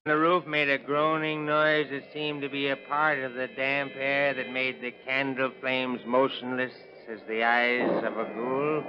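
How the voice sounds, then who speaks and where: A man's voice: medium pitch, close to an everyday voice. A man playing a tormented, hate-filled murderer, narrating in brooding, melodramatic old radio horror style. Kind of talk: 1930s radio horror acting. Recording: muffled old radio recording.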